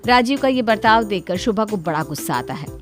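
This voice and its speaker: A woman narrating an animated moral story as a scripted storyteller. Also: mid-range voice, brisk, over music.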